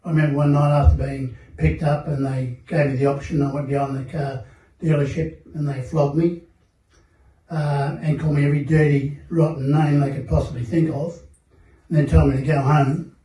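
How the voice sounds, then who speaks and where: An older Australian man speaking as a panel guest at a seminar, telling his life story in a measured, reflective way with many pauses and fillers. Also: a little high, little pitch movement, mellow. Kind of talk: personal testimony at a seminar panel. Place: seminar hall. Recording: echoey room, mic at a distance.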